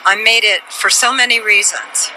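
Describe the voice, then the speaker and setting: A woman giving personal testimony in deliberate, emphatic short phrases, outdoors on the street. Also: mid-range voice, fairly even intonation, sharp tone, poor audio. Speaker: protester and interviewee. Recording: faint street noise behind.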